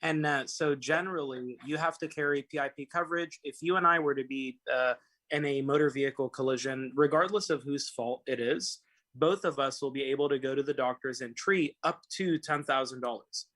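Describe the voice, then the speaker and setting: Bright-voiced young man, rambling on a call. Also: medium pitch, fairly quick. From Los Angeles. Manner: rambling, many fillers and restarts. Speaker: personal injury attorney, guest. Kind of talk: casual call-in talk.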